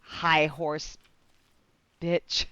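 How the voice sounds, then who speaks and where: A woman's voice: low-pitched, flat delivery, very slow, a bit bright, clean tone, no room sound, dull audio. A woman streaming a video game with casual, slangy, sweary reaction commentary.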